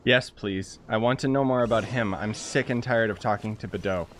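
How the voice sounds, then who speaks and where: A man's voice: fairly low pitch, moderate pace, fairly bright tone, a little hiss or hum. A young man casually commenting on a video game he plays, laid-back and jokey. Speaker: gaming streamer. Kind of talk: casual let's-play commentary.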